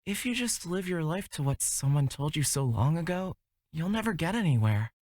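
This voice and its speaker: A woman voicing a reassuring, philosophical young man in a fantasy visual novel, measured and encouraging. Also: sits low, big pitch swings, a little mellow, no echo. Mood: gently encouraging. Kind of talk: acted visual novel dialogue.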